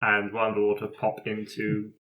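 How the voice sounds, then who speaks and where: A man playing a tabletop roleplaying game, describing character actions in a jokey, halting, improvised way. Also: fairly low pitch, completely flat delivery, bright, forceful tone. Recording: echoey room.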